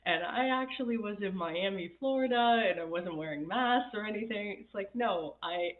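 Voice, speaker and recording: Raspy-voiced woman on a tinny line. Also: medium pitch, moderate pace. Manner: chatty, laughs often, reads viewer comments. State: raspy from recent illness. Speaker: happiness coach. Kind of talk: casual live-stream talk. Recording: tinny phone-like sound in an echoey room.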